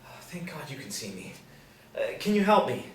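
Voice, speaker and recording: A man's voice: medium pitch, sing-song, moderate pace, lightly acted. Strained, confused man in agony played by a male actor, stammering drama role; distant mic, faint noise. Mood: pained, confused. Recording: echoey room, distant mic, background noise.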